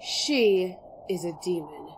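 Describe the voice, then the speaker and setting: A woman's voice: on the low side, expressive, slow pace, vocal fry, slight background noise, full character voice, poor audio. A woman playing a haughty, scornful elven queen in a fantasy audio drama, with an imperious, disdainful delivery. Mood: outraged. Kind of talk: fantasy audio roleplay acting.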